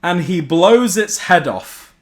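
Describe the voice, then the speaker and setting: A man's voice: high-pitched, expressive, slow pace, a loud crowd. A young man reading a silly horror story to friends, giggling and stumbling over the words as storyteller.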